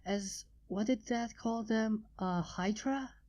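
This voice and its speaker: A woman voicing a shy young dragon girl who talks hesitantly and softly, a touch higher than her own narrating voice. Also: slow, dull audio. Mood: timid. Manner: hesitant and soft-spoken.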